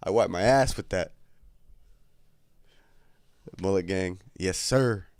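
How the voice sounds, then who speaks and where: Laid-back young man, slightly congested, low voice. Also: slow pace, a little mellow, clear, resonant tone, dry recording. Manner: laid-back, crude, joking banter with chat. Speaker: livestream host. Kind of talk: casual livestream chat. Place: his bedroom at home.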